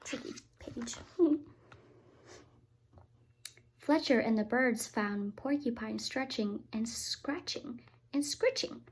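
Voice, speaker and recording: A woman teacher reading a picture book aloud to young children, expressive and often turning to talk to them directly. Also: on the high side, slow pace, pauses often, a little hiss or hum. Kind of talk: read-aloud storytime with asides to children.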